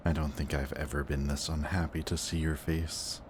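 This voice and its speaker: A man playing a sardonic, gruff but protective antihero villain in an intense, emotional audio roleplay. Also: a bass voice, noisy background. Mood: tense, hurt.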